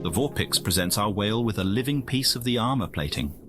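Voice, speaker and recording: A man narrating a game as a measured, scripted nature documentary. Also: lowish voice, lively intonation, over loud music, lightly acted. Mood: measured, dramatic. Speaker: narrator of a visual novel game.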